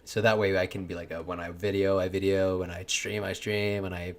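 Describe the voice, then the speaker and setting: Young guy, lowish voice, casual swearing talker, earnest rambler. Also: flat delivery, a little fast. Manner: rambling, earnest, swears often, laughs a lot. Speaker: content creator. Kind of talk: casual chat.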